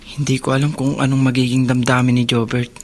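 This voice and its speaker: A man's voice: medium pitch, level tone, fairly quick, a little hiss or hum, close to an everyday voice. A man with a Filipino accent playing a troubled, guilt-burdened, protective older brother in a radio drama. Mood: guilty, sombre.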